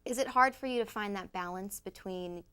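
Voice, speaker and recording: A woman's voice: mid-range voice, moderate pace, fairly bright tone, clear, resonant tone. A woman hosting a casual interview, asking questions in a bubbly way and laughing often. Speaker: interview host.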